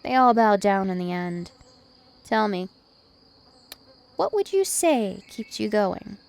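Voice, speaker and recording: A woman's voice: mid-range voice, very animated, slow pace, gravelly, darkish tone, heavily acted. A woman voicing a dominant, taunting, ancient vampire princess in an ASMR roleplay, with haughty, teasing menace. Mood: sly menace. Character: two-thousand-year-old vampire princess, female, dominant, taunting, imperious.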